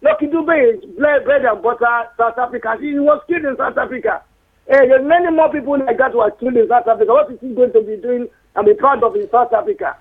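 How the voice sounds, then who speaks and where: A man's voice: very high-pitched, quick pace, slightly rough. A man with a Yoruba Nigerian accent calling in to a talk show, arguing his point insistently and repeating himself. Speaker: caller. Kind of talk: phone-in opinion. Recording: tinny phone line.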